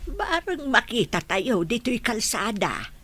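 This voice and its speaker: A muddled old grandmother, soft-hearted and easily lost, played by a woman. Her bright voice sits high and swings widely as she wanders through bewildered questions. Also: big pitch swings, takes it slowly, slight background noise, heavily acted. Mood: confused. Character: forgetful old grandmother, gentle and confused. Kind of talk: radio drama acting.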